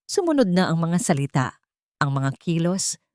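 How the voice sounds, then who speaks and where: A woman narrates a scripted drama in the first person. Her voice sits low, and the sound is dry, with very little room to it. She delivers the text as a storyteller. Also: big pitch swings, moderate pace, darkish tone, no room sound.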